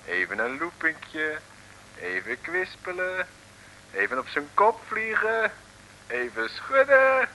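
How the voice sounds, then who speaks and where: An actor playing a wry, jovial Dutch storyteller, narrating an old comic radio serial. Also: male voice, high voice, sing-song, takes it slowly, vocal fry, sharp tone, heavily acted, low-quality recording. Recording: old radio recording with faint noise.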